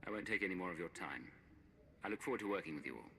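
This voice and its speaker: Composed male lead for a game. Deep, forceful, fast-spoken, direct. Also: lively intonation, vocal fry, slight background noise, exaggerated voice. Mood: resolute. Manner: brisk, to the point. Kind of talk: scripted game character dialogue.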